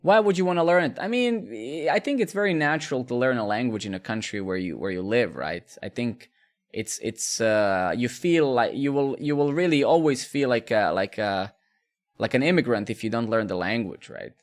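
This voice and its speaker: A man's voice: mid-range voice, lively intonation, normal speed. A man, chatting casually with viewers during a live coding stream, rambling and repeating words. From Serbia.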